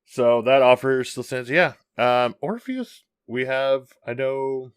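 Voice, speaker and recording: A man's voice: mid-range voice, slow pace, smooth, no room sound. A man co-hosting a casual podcast, rambling along with lots of ums and restarts. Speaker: podcast co-host. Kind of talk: casual chat.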